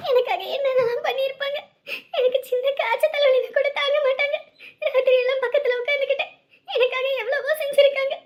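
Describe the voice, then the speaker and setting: A tearful young village girl, voiced by a woman, sobbing and pleading as she grieves. The voice is very high and she talks through tears. Her words come out broken by crying. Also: muffled recording. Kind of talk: one-person village family skit.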